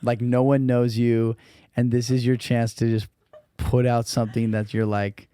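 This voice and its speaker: A man hosting a podcast, asking long relaxed questions and laughing easily. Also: medium pitch, normal speed, clear, resonant tone, no echo. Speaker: podcast host. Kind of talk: casual podcast interview.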